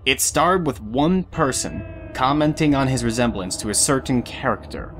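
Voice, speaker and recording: A man's voice: mid-range voice, expressive, normal speed, fairly bright tone. A man reading scripted third-person narration for an audio drama.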